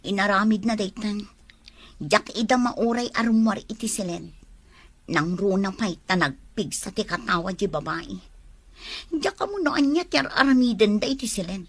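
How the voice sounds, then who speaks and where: A woman acting a tender, lovelorn young rural homemaker in an audio drama, with an Ilocano accent and breathy, plaintive delivery. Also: mid-range voice, lively intonation, normal speed. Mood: sad, confiding.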